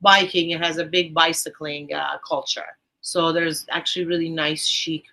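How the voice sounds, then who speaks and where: A woman's voice: low voice, fairly even intonation, normal speed, bright, forceful tone. A woman from Iran with a Persian accent, a guest on a podcast interview, talking enthusiastically about volunteer teaching, with a rambling, restarting manner.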